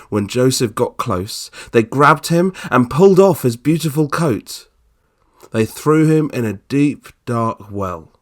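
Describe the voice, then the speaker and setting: An older man reading a scripted Bible story for children in simple, deliberate phrases with frequent pauses. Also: medium pitch.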